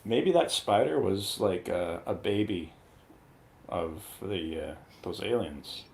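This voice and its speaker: A man's voice: deep voice, expressive, takes it slowly, vocal fry, darkish tone, slight background noise. A man on a radio movie review panel, chatting casually and giving opinions in a rambling, profane, conversational way. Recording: roomy, echoey sound.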